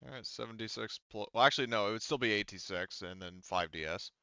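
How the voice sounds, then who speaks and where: A man's voice: fairly low pitch, quick pace, pushed and bright, smooth, no room sound, lo-fi sound. A man talking casually and informally as game master of an online tabletop roleplaying game, thinking through the rules aloud.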